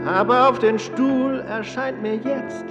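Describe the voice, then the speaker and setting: A woman performing solemn philosophical verse in a radio play, partly sung, with drawn-out poetic phrasing. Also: fairly high pitch, fairly even intonation, dull audio. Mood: solemn, melancholy. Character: solemn female singer of philosophical verse on transience. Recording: loud music under the voice.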